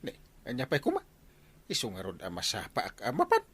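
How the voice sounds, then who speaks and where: An actor playing a foxy middle-aged village captain who loves gambling, with hemming, stammering interjections, in a radio comedy drama. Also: male voice, medium pitch, huge pitch swings, fairly quick, a bit bright, slightly rough, heavily acted. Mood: scheming. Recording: music behind the voice.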